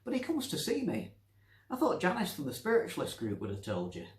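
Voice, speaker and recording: A man's voice: high-pitched, huge pitch swings, fairly quick, reverberant, pitched above the performer's own voice. A man voicing a feisty, chatty elderly widow with a Northern English accent, blunt and teasing, in a story reading. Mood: matter-of-fact. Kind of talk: story reading with character voices.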